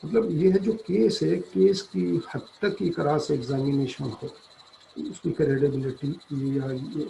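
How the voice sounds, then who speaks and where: A man giving an explanatory law lecture, halting and deliberate, reading out formal passages and switching between languages to paraphrase them. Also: on the high side, fairly even intonation, vocal fry, mellow, a little hiss or hum, rough recording.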